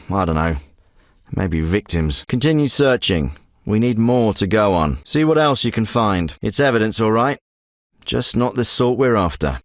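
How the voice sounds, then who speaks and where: Man doing a special forces handler, terse, businesslike, expressive pitch, dry studio sound. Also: no room sound, natural voice. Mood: brisk. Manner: terse, businesslike radio-style briefings. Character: clipped, steady male military handler, middle-aged. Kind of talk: video game voice acting. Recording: dry close studio recording.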